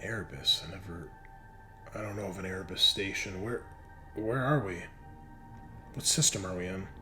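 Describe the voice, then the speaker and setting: A man's voice: low voice, bright, forceful tone, faint music behind, pitched below the performer's own voice. A man playing a shaken, dazed crewman just out of cryosleep in a tabletop game, stammering through broken recollections. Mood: confused, wary. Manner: halting, stammering, fragmented recollection.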